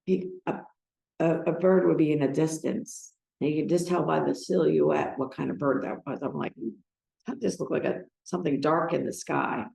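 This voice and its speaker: An older American woman giving a personal talk to a gathering, speaking in a halting, emotional way. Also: deep voice, fairly even intonation, vocal fry, warm tone. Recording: roomy, echoing sound.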